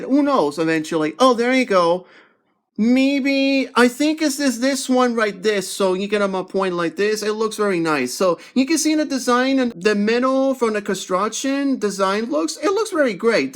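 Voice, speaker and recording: A man giving a casual, rambling unboxing review as a toy reviewer, with halting, repetitive phrasing. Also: high voice.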